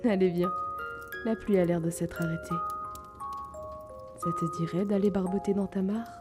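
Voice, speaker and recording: A woman playing a gentle, timid, wounded young male potion seller in an intimate fantasy audio drama, speaking softly, hesitantly and often breathily. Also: mid-range voice, fairly even intonation, moderate pace, over music, natural voice. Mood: warm, relieved.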